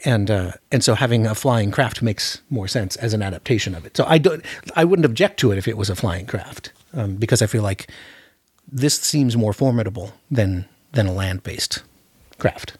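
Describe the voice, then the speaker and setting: A man's voice: lowish voice, expressive, moderate pace, a little mellow, husky, no room sound. A man hosting a casual film discussion, often repeating words as he works out his thoughts. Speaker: podcast host.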